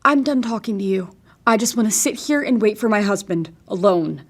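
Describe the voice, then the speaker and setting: A woman's voice: mid-range voice, lively intonation, a little fast. An actress playing a guarded, defensive young mother in an audio drama, speaking insistently. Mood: defiant, upset. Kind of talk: audio fiction acting.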